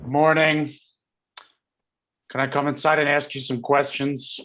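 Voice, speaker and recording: A man's voice: fairly high pitch, little pitch movement, unhurried, a bit bright. An actor playing an officious, hostile policeman with a clipped formal manner, in a staged play reading. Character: officious, hostile small-town policeman grieving colleagues.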